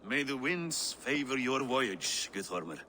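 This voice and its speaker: A man playing a weary, stern older Viking king in a video game, measured, authoritative and reproachful. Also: medium pitch, very animated, sharp tone, a little hiss or hum, full character voice. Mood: formal, commanding. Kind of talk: dramatic video game acting.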